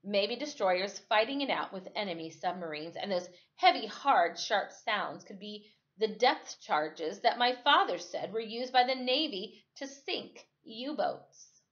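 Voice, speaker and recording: A woman reads a children's novel aloud as a storyteller, with expressive, dramatic and deliberate phrasing. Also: mid-range voice. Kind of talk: expressive first-person story read-aloud. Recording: dull lo-fi recording.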